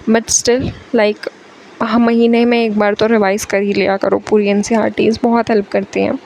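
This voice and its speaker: A woman's voice: a little high, darkish tone, slight background noise, poor audio. Young woman teaching biology, sore throat. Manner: chatty Hindi-English code-switching, reading English lines slowly. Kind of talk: online exam-prep lecture.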